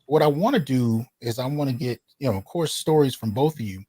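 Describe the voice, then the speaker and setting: A man's voice: mid-range voice, expressive, brisk, dark tone, slightly rough, no echo. A man hosting an interview, speaking emphatically and often checking in with his listeners. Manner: emphatic, often asks "right?". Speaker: host.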